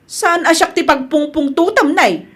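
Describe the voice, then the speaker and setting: A woman playing a haughty, sharp-tongued wife hostile to her in-law in an Ilocano-accented radio drama. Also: sits high, unhurried. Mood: defiant.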